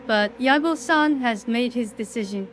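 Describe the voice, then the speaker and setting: A woman in an audio drama playing a formal, unyielding company executive with a measured, officious manner. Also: on the high side, slight background noise, close to an everyday voice. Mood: firm, formal. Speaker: voice actor in fan audio drama.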